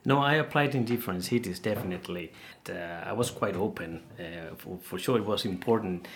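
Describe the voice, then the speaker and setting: A man from Venezuela talks casually as a guest in a very low, gravelly voice. He is animated and repeats words as he looks for the right phrasing. Faint background noise sits under him. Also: fairly quick. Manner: animated, repeats words while searching, laughs easily. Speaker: interview guest, geologist. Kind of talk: casual conversation.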